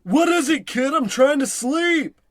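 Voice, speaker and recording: A man's voice: very high-pitched, lively intonation, a little slow, no room sound, studio quality. An actor playing a cocky, bossy salesman in a parody old-time radio comedy, patronizing and oblivious. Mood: irritated.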